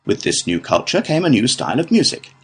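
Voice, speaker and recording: A British man delivering a scripted academic lecture in a measured, formal manner. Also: medium pitch, big pitch swings, creaky, husky, faint music behind. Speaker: lecturer in a listening test.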